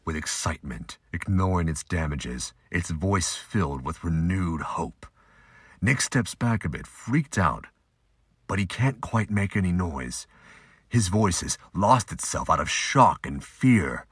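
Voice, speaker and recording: A man's voice: low-pitched, lively intonation, measured pace, a bit bright, husky, dry recording, studio quality. A man giving scripted, audiobook-style narration for an audio drama.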